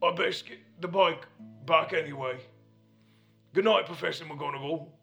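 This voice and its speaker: Brief: a man playing a big soft-hearted groundskeeper for a storybook reading, loud and high, gravelly, blubbering. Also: normal speed, faint music behind. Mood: tearful. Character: huge shaggy gentle male groundskeeper, emotional. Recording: dull lo-fi recording.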